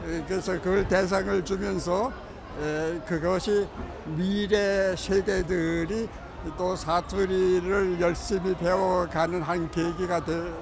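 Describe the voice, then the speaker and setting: Slow-spoken older man outdoors. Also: high voice, gravelly. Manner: slow, deliberate, earnest. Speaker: interviewee, judge. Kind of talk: interview comment. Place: outdoors under a large festival tent. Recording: outdoor ambience with traffic noise.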